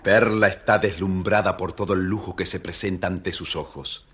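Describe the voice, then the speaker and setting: A man's voice: low voice, steady tone, moderate pace, a bit bright. A man narrating a scripted children's fairy tale expressively. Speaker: storyteller, narrator.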